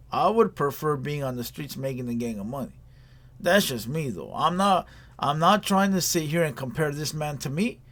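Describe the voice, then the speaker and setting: A man hosting a reaction video, giving casual commentary in a blunt, slangy, repetitive lecturing way. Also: a little high.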